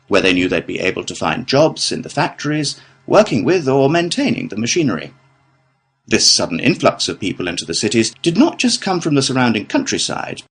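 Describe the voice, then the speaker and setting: A British man delivering a scripted academic lecture in a measured, formal manner. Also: mid-range voice, a bit bright, slightly rough. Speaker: lecturer in a listening test.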